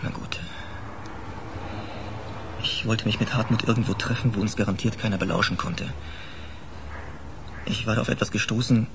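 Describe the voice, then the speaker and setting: An actor playing a defensive, hesitant, evasive suspect under questioning in a radio crime drama. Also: male voice, on the low side, steady tone, moderate pace, pauses often, background noise, natural voice. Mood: reluctant confession.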